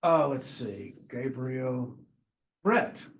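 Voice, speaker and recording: A man's voice: mid-range voice, animated, extremely slow, poor audio. Chatty, encouraging man teaching a class. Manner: chatty and encouraging, with frequent restarts. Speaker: marketing professor. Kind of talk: classroom introductions.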